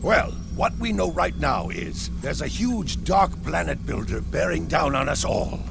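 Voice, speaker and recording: An actor playing a gruff old mentor and leader in a video game, crusty and authoritative. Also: male voice, on the high side, very animated, a little slow, music in the background, heavily acted. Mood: grave. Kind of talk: video game cutscene acting.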